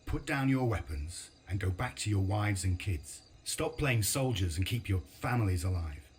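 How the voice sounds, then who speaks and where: A weary, reluctant war veteran in a game drama. He speaks in a low, grave voice with a measured, guarded delivery. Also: animated, darkish tone, slight background noise. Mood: stern, refusing. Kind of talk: dramatic game dialogue and reflective narration.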